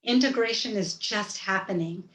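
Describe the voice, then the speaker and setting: A woman's voice: mid-range voice, normal speed, a bit bright, reverberant, low-quality recording. A woman in her fifties from rural Georgia, whose first language is Hindi, telling a personal story in vivid, scene-setting detail over a video call. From rural Georgia, USA.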